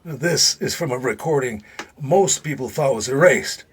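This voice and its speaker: An actor playing a dying retired Secret Service agent in an audio drama, older, gruff and folksy, weary and confiding, with dry humor. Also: male voice, mid-range voice, lively intonation, normal speed, a little hiss or hum, close to an everyday voice. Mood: secretive, serious.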